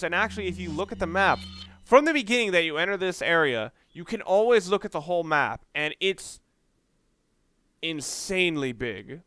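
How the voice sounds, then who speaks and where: A man's voice: a little high, fairly bright tone, no echo. A man giving casual let's play commentary in a rambling, conversational way, often correcting himself as he goes. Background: game music.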